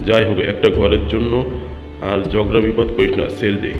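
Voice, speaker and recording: A dismissive cartoon bird, played by a man, chiding and unsympathetic. The low, even voice comes with long echoing reverb and light music underneath. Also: brisk, lightly acted. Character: dismissive forest bird neighbour, advising surrender. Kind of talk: Bengali children's cartoon dialogue. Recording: echoey hall reverb, music bed.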